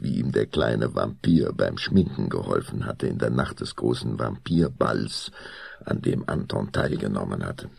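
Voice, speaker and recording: Male radio-play narrator: very gravelly, animated intonation, scripted storytelling, faint background noise. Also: a bass voice.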